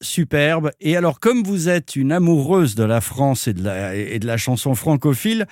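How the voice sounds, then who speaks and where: A man's voice: a little high, normal speed, darkish tone, dry recording, studio quality. A man hosting a French radio interview, courteous and enthusiastic toward his guest. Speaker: radio host.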